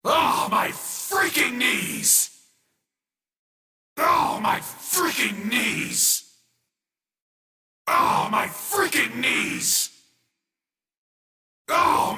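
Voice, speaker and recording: A distant, heavily echoing game villain, high-pitched and gravelly. He is menacing and theatrical, with an exaggerated, exclamatory delivery meant for taunting prey. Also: sharp tone, heavily acted. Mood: pained exasperated. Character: menacing, gleeful male villain chasing his prey, comically achy. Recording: echoey hall reverb, distant from mic.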